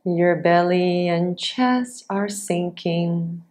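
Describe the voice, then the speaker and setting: A woman with a slightly low voice leads a guided yoga nidra meditation. She speaks extremely slowly, in short, measured phrases. Long pauses separate each instruction, keeping the delivery unhurried throughout. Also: darkish tone. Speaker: yoga nidra teacher guiding a practice.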